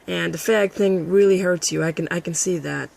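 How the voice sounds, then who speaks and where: Raspy, husky woman ranting. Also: on the low side, moderate pace, a little mellow. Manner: combative, profane ranting. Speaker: vlogger. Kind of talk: addressing a critic to camera.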